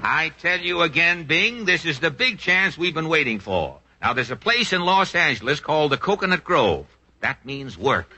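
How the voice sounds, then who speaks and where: A man's voice: a little high, big pitch swings, moderate pace, sharp tone, heavily acted. A man playing a pompous, gluttonous older brother and manager in a 1940s radio comedy sketch, blustery and insistent. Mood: eager, scheming. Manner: blustery, self-important, insistent. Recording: noisy, crackly 1940s radio recording.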